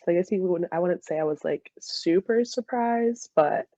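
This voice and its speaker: A woman's voice: fairly low pitch, normal speed, no echo, muffled recording. A woman in her twenties with an American accent, chatting informally as an interview guest and retelling things in a giggly, casual way. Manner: giggly, informal, animated retelling with quoted asides. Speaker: interview guest, former college soccer player.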